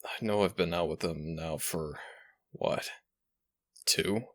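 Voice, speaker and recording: Smitten dragon shifter prince played by a man for romantic audio drama: very low, slow delivery, tender and hesitant. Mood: eager, nervous. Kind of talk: ASMR romantic audio roleplay addressed to listener.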